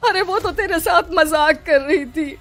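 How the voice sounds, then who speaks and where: A woman's voice: very high-pitched, unhurried, a bit bright, faint music behind, exaggerated voice. A woman voicing a worried, scolding middle-aged mother in a horror cartoon story, concerned and reproachful. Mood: shocked, reproachful.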